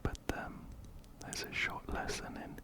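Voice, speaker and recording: A man whispering gently and breathily in an ASMR game playthrough, up close to a binaural microphone, unhurried and softly repeating words. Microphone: close-up binaural microphone, ear to ear.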